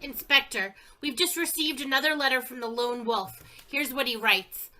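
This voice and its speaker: Radio-play police chief, firm and clipped, played by a woman, with a bright edge. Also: high-pitched, moderate pace, rough recording. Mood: questioning. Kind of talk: community theater radio play.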